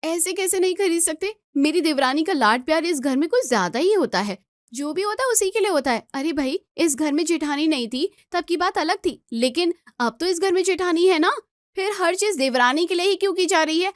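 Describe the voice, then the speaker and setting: A woman's voice: extremely high pitch, fairly quick, no room sound, studio quality, voice pushed up. A woman voicing a whiny, quarrelsome young sister-in-law in a family story, indignant and demanding. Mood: aggrieved, angry.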